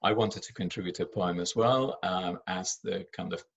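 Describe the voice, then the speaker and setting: A man's voice: deep voice, moderate pace, gravelly, breathy edge, lo-fi sound. A man from Argentina, a native Spanish speaker and former head teacher, giving a teaching presentation in English with many fillers and restarts.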